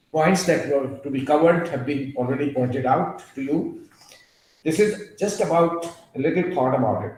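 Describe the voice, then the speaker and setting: A man giving an earnest, emphatic webinar talk, drifting into asides and filling pauses with "uh". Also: mid-range voice, darkish tone. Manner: emphatic, digressive, with frequent fillers. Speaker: guest speaker on a webinar. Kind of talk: earnest lecture with personal asides. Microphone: video call. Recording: very echoey room over a call.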